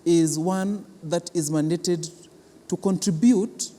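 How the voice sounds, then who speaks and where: Mellow, higher-pitched Kenyan man in echoing hall. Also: steady tone. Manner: deliberate, halting, repeats words for emphasis. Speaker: arts institution official being interviewed. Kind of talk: interview answers, explanatory. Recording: long reverberant hall, clear background noise.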